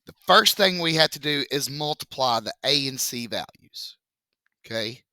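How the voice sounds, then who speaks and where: A male math teacher with a deep, froggy voice, explaining a lesson slowly and joking with the class. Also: expressive, bright, forceful tone, no echo. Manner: joking, chatty, slow step-by-step explaining. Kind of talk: casual classroom lesson video.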